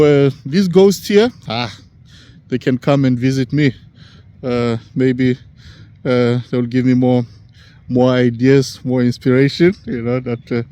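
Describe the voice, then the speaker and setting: A man in his sixties from Africa, talking in a halting, rambling way, full of "you know" fillers. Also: a little high, warm tone. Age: 60s. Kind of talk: casual rambling monologue.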